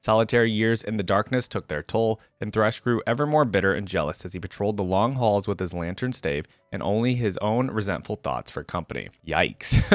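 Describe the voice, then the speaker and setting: A man's voice: lowish voice, no room sound, studio quality. A man hosting a reaction video, switching between reading lore aloud and chatty, informal commentary.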